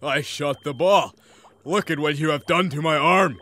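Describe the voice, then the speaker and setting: A man's voice: fairly high pitch, moderate pace, bright, forceful tone. Man playing a stilted, mock-solemn lab technician. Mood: aggrieved. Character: solemn mock-warrior lab technician, sulky squabbling brother. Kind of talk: comedic audio drama acting.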